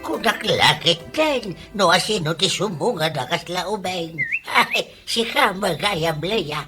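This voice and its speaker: A woman playing a flattering older neighbour in a radio comedy, teasing in a drawling Ilocano accent. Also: fairly low pitch, unhurried, music in the background. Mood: flattering, amused. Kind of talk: comedy radio drama acting.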